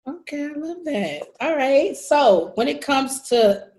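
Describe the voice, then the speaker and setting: A woman's voice: high-pitched, lively intonation, slow. Effusive woman podcast host. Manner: warm, effusive praise and slang. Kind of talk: casual interview hosting.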